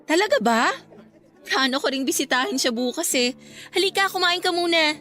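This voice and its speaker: A woman's voice: high voice, animated, moderate pace, pushed and bright, soft background music. A woman playing a grateful, wistful young woman in a Filipino radio drama, speaking with a Filipino accent. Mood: cheerful, welcoming. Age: young adult. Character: grateful, wistful young woman, newly graduated, missing her late love.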